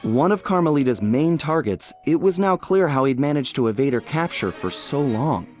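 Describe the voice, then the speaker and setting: An actor playing an easygoing cartoon thief and gang leader, telling his adventures as wry video game narration with dramatic pauses. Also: mid-range voice, animated, a little mellow, close to an everyday voice. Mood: matter-of-fact storytelling. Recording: background music under the voice.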